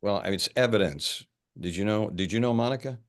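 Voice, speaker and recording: Man playing a low, gravelly, blunt investigator. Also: fairly quick, natural voice. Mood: probing. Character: blunt, fussy, suspicious male investigator. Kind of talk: horror film script table read.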